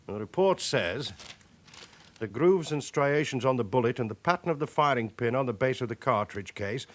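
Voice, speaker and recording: An actor playing a cautious, middle-aged British police chief inspector in a radio drama, formal and measured. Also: male voice, mid-range voice, animated, lightly acted. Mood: stern, measured. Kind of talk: radio crime drama acting.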